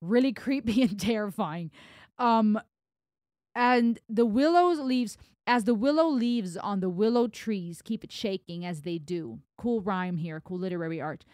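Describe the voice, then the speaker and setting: A woman in her thirties from Germany, with German as her first language, giving an animated music reaction on a boom mic in a home studio. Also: unhurried, no echo. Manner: animated, gestures while talking, reads lyrics aloud. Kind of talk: casual music reaction commentary. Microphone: broadcast mic on boom arm, headphones.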